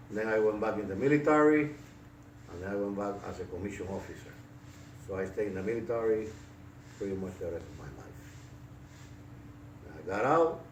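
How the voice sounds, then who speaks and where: A Puerto Rican man in his sixties recalls his life for an interviewer, his voice very low and his talk halting, full of fillers and false starts. He is picked up from a distance in a roomy, echoing space. A clear noise hums behind him. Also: darkish tone. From Puerto Rico. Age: 60s. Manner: halting, many fillers and restarts. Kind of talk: casual life-story interview. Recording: echoey room, distant mic, noisy.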